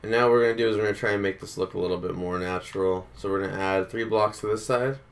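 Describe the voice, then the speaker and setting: Man, low gravelly voice, slightly sick, laid-back tutorial host. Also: fast, soft background music. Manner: relaxed, counts blocks aloud, many fillers. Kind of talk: casual step-by-step tutorial.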